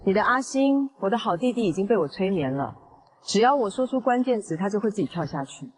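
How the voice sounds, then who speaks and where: A woman playing a smug, menacing young hypnotist villain in an audio drama, calm and gloating. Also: medium pitch, fast, slightly rough. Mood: smug threat. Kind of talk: dramatized audio fiction acting. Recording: long echoing reverb.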